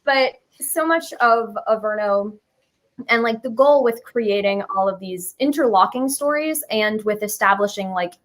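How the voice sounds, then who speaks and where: Young woman being interviewed, chatty and casual, average pitch, says like and sort of a lot. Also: unhurried. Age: 20s. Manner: chatty, informal, hedging with "like" and "sort of". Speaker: musical writer and guest being interviewed.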